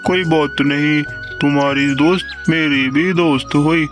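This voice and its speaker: Man as kindly fable animal, instructive, cartoon dialogue, slow pace, loud music behind. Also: a little high, steady tone, lightly acted. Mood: generous, instructive. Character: kindly, helpful male animal in a children's fable. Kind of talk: Hindi children's cartoon storybook dialogue.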